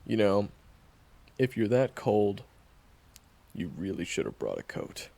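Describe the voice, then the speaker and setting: A man playing a sardonic, guarded monster hunter in a fantasy audio roleplay, with a gravelly voice and a dry, teasing, bluntly dismissive manner. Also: lowish voice, wildly swooping pitch, a little slow, dark tone, voice pushed up, full character voice. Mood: teasing, scolding. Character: sardonic, guarded young male monster hunter, begrudgingly chatty. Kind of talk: fantasy audio roleplay acting, addressed to the listener.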